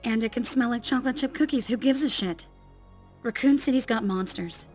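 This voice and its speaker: A woman's voice: on the high side, fairly quick, a little mellow, faint music behind, natural voice. A woman playing a young survivor's tough, sardonic inner voice in an audio drama, blunt and self-scolding. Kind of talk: dramatized audiobook character thoughts.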